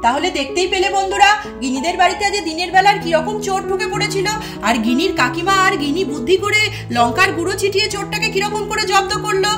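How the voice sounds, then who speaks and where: A woman's voice: extremely high pitch, fast, music in the background. A woman narrating a children's doll story as the channel's host.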